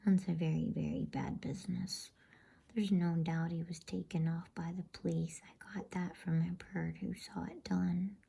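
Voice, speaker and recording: A woman voicing a grave, homely male talking beaver in a slow, folksy bedtime story reading. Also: lowish voice, little pitch movement, vocal fry, dark tone, slightly rough, exaggerated voice. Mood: gloomy, grave.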